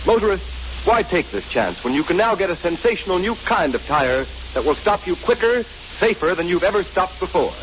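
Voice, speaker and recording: High-pitched American 1930s radio announcer. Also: male voice, sing-song, a little fast. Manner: emphatic, polished salesmanship. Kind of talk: 1930s radio advertising read. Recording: muffled, noisy 1930s radio recording.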